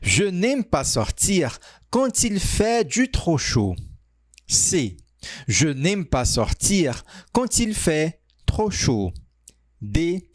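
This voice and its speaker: A man teaching French, reading quiz sentences and answer options aloud slowly and carefully. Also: fairly high pitch, dry recording, studio quality. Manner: reads sentences slowly, stretched out, pauses on options. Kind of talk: slow, clearly spoken quiz reading.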